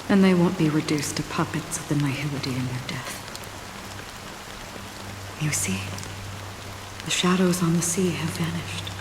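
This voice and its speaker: A woman voicing a composed, solemn young woman in a video game, speaking in a deliberate, softly grave manner. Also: low voice, background noise. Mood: calm, mournful. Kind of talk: video game voice acting, measured dramatic dialogue. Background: background music.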